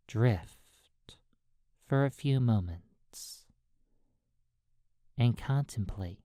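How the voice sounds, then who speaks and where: A meditation guide narrating in measured, deliberate short phrases with pauses between them. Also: medium pitch, dark tone, no echo, clean studio sound.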